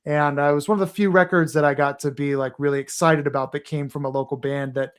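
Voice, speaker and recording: A man's voice: on the high side, steady tone, fast talker, clear, resonant tone. Earnest rambling man from Texas. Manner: earnest, rambling, with fillers and restarts. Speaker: podcast guest. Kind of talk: casual podcast chat.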